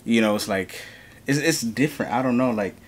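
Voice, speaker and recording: A man's voice: mid-range voice, a little fast. A young man from New York co-hosting a reaction video, talking casually with lots of fillers in a room at home. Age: young adult. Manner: laid-back, rambling, lots of fillers. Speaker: reaction channel co-host. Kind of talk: casual chat.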